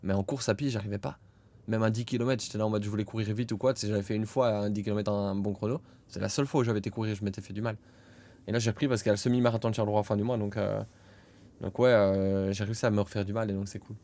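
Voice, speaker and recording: Low-voiced young man chatting casually. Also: steady tone, rapid-fire. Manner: laughs loudly, many fillers. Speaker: podcast co-host. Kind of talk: casual chat.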